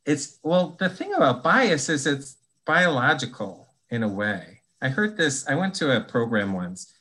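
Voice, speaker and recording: A man of ordinary middle pitch speaks as a podcast guest. He explains at length in a thoughtful, conversational way, often restarting his sentences as he works out his point. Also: sing-song, a little slow, bright, forceful tone. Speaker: guest, anti-bias trainer.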